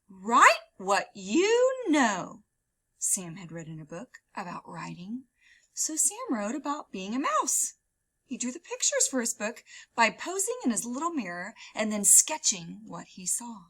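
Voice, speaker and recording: A woman reads a children's story aloud in a teacherly way. Her voice is fairly high and bright, swinging widely in pitch, with dramatic stretches on key words. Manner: expressive, dramatic, drawn-out emphasis on key words.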